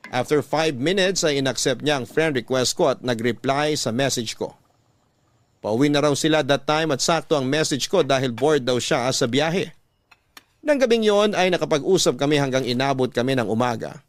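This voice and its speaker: A man's voice: fairly high pitch, no echo. A man with a Filipino accent reading a first-person love story in a classic radio storytelling style. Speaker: narrator reading a love letter.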